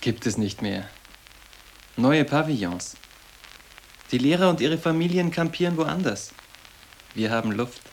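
A young man playing a sarcastic teenage boarding-school boy in a radio drama, curt and offhand, with a gravelly edge. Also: on the high side, lively intonation, unhurried, long pauses, slight background noise, low-quality recording. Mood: offhand, reserved.

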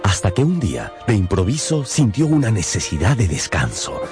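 A man narrating a radio novel in a theatrical, measured and wry manner. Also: on the low side, huge pitch swings. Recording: music behind.

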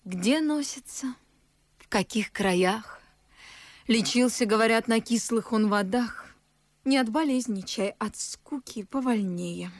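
High-voiced, cheeky maidservant. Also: female voice, slow pace, dry recording. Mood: sly. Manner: theatrical, folksy, deferential yet cheeky. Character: pert, shrewd young maidservant, chatty and teasing. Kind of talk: radio play acting of classic verse comedy. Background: faint music.

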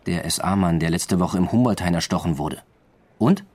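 Deep-voiced, dry police detective. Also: male voice, normal speed, a little mellow. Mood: dryly collegial. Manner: matter-of-fact, dry, clipped. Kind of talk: German radio drama acting, naturalistic dialogue.

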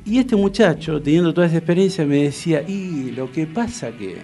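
Gravelly-voiced Argentine man chatting. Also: fairly high pitch, lively intonation, fairly quick, dark tone, soft background music. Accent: Rioplatense Argentine. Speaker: radio host and interviewer. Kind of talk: casual chat, storytelling.

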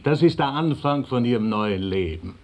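A man's voice: medium pitch, expressive, normal speed, a little mellow, lightly acted. A man playing a self-assured, persuasive businessman in a German radio play, encouraging and full of rhetorical questions.

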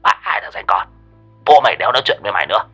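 A man's voice: mid-range voice, wildly swooping pitch, moderate pace, gravelly, fairly bright tone, faint music behind, full character voice. A man with a Northern Vietnamese accent voicing a cranky middle-aged male gambler who rants, swears and scolds. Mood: cursing.

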